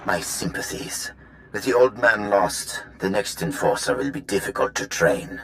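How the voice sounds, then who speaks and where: An actor playing a cold, disdainful conspirator with measured delivery in a video game. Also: male voice, on the low side, very animated, a bit bright, a little hiss or hum. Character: cold, haughty male conspirator, dry and impatient.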